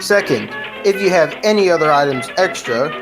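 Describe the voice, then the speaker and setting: A woman's voice: low voice, lively intonation, normal speed, over music. A teenage young woman, a student presenter, reading a scripted fundraising announcement.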